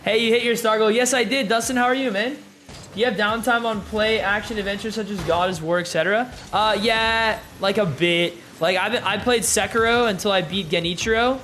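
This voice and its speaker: A young male streamer with a somewhat high voice talks in a loose, relaxed way, full of fillers and easy asides to his chat. A faint hiss sits under his voice from the home setup. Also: fairly quick. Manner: laid-back, filler-heavy, reads chat aloud. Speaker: gaming streamer. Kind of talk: casual live-stream chat.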